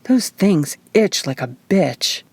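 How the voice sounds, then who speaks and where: A woman's voice: fairly low pitch, very animated, slow, breathy edge, no room sound, full character voice. A weary, wry young heroine grumbling through her injuries, played by a woman narrator. The voice is subdued, in a very dry audiobook recording.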